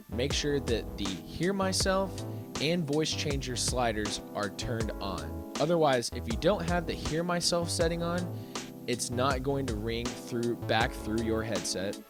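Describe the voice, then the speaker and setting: A man giving an instructional tech tutorial, explaining things step by step. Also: medium pitch. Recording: music behind the voice. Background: background music.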